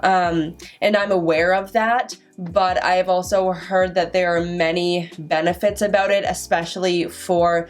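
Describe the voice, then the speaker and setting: A young woman in her twenties talks slowly to her camera, catching on the first sounds of words and restarting them as she stutters. Her voice sits a little low for a woman. Soft music plays faintly underneath. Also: fairly even intonation. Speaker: vlogger. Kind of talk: casual vlog talk to camera.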